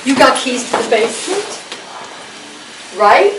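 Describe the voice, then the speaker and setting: An actress at a live table read, playing a giddy, starstruck female nurse, excited and gushing. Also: high voice, unhurried. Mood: mischievous excitement. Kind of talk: live staged script reading. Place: live staged event in a room. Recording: echoey room, distant mic, clear noise.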